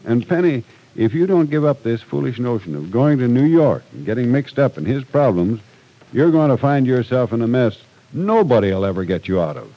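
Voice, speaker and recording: A man's voice: medium pitch, sing-song, normal speed, lo-fi sound. An actor playing an exasperated, protective older man with an incredulous, scolding manner in an old-time radio soap opera.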